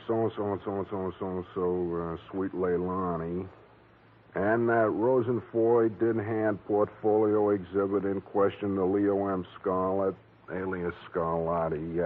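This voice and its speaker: A man's voice: low-pitched, flat delivery, measured pace, slight background noise. An actor playing a plodding, officious male police captain in a 1940s radio drama. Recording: tinny 1940s radio recording.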